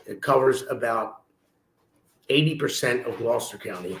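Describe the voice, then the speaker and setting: A man in his forties from Philadelphia with a slightly hoarse voice, chatting casually as an interview guest on a video call. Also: medium pitch, normal speed, a bit bright, a little hiss or hum. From Philadelphia, USA. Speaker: interview guest, political candidate. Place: room at home, indoors. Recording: roomy echo on a video call.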